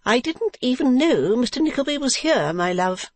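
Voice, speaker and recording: An imperious, genteel businesswoman from a classic storybook, acted out by a narrator. Her voice sits high and carries a scolding, haughty edge. The recording is dry and close. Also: very animated, normal speed, dry recording. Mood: pouting reproach. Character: haughty, brisk businesswoman wife, affectedly genteel. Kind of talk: classic novel audiobook character.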